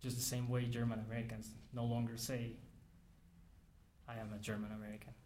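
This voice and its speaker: A man from Mexico, giving an academic lecture in an earnest, measured way with frequent restarts. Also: medium pitch, level tone, dark tone, slight background noise.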